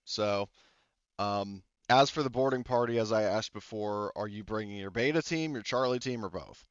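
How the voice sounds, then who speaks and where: Low-voiced man rambling as game master. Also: normal speed, clean tone, no echo. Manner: rambling, many fillers and restarts. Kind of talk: casual tabletop game narration. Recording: dull lo-fi audio.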